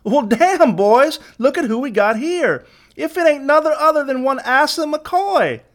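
A man's voice: very high voice, animated, measured pace, fairly bright tone, voice pushed up, heavily acted. A man voicing a young, swaggering Confederate gang leader in a storybook, cruel and mocking, with a twangy American Southern accent. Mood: surprised, gloating. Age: young adult.

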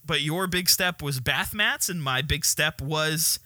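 A man's voice: a little high, measured pace, bright, forceful tone, no room sound, clean studio sound. A young man, a student pastor, co-hosting a casual podcast chat, joking and laughing often.

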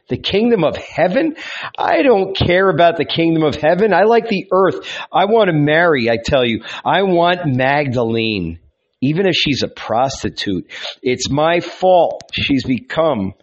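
A man voicing an anguished young carpenter. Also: mid-range voice. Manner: pleading, anguished outbursts. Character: tormented young male carpenter arguing with a voice. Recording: dull lo-fi recording.

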